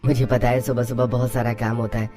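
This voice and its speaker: Guy voicing a sweet laid-back young husband, cartoon story, medium pitch, bit of music under it. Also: flat delivery, quick pace, mellow, lightly acted. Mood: gentle, wishful. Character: affectionate, easygoing young husband heading to office. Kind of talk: scripted Hindi moral-story cartoon acting.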